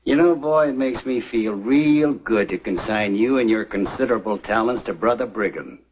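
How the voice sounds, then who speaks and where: An actor playing a gruff, sly middle-aged frontier sheriff who talks in a taunting drawl. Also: male voice, on the low side, moderate pace, close to an everyday voice. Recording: dull old TV soundtrack with faint hiss.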